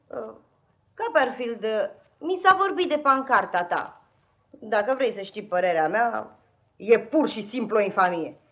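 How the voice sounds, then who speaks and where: An actress playing a confident, protective older schoolboy in a 1950s radio play, assured and authoritative. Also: female voice, on the high side, expressive. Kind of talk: 1950s Romanian radio theatre acting.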